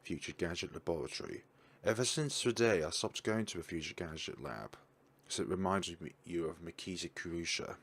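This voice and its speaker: A man's voice: low-pitched, brisk, a bit bright, breathy edge. A man reading a visual novel's text aloud, stumbling and correcting himself, with casual asides about the game. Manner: stumbling reads with self-corrections.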